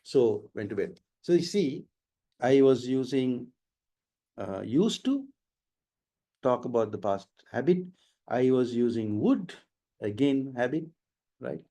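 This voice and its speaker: A man's voice: medium pitch, animated, slow, long pauses, mellow. A middle-aged man with a slight Sri Lankan accent, giving an explanatory IELTS webinar lecture and dropping in Sinhala phrases. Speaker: IELTS trainer.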